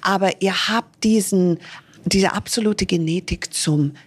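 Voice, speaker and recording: A woman's voice: fairly low pitch, unhurried, slightly rough. A middle-aged woman hosting a podcast, chatting with effusive enthusiasm. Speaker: podcast host. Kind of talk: lively interview chat.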